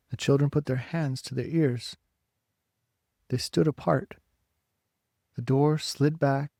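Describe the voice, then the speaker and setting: A man narrating a literary story dramatically, in deliberate, separate phrases. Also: medium pitch, vocal fry, warm tone, no room sound, clean studio sound. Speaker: audiobook narrator.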